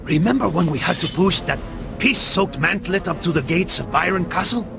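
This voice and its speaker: An actor playing a cocky, talkative Spanish mercenary with a Spanish accent and a jaunty, teasing manner in a video game. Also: male voice, sits high, expressive, moderate pace, gravelly, over music. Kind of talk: scripted video game cutscene acting.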